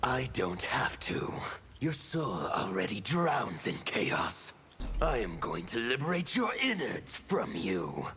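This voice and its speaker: An actor playing a disfigured anarchist revolutionary villain in a video game, gravelly, theatrical and menacing. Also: male voice, fairly low pitch, very animated, slow, background noise. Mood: cold threat.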